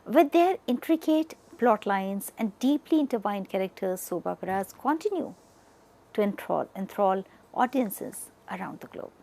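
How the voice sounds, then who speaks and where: A woman with an Indian accent reads a prepared lecture as an educator. Her medium-pitched voice has a noticeable creak. She is deliberate and instructive, leaning on the first words of each new point. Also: expressive, darkish tone. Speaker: media educator presenting a lecture. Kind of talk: scripted lecture narration over slides.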